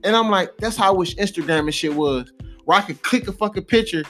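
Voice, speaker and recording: A man's voice: high-pitched, fast talker, a bit bright, soft background music. A young American man in casual, slangy, profane podcast storytelling, repeating himself for emphasis. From Cleveland, United States. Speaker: podcast co-host.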